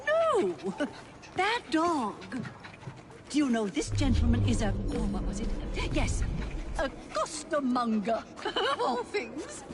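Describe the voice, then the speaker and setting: An excitable, thrill-seeking Victorian lady of high rank in a game cutscene. She speaks in a high, grand upper-class English voice with drawn-out, theatrical phrasing. Loud music plays under her words. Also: heavily acted. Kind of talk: video game cutscene acting. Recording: loud music over the dialogue.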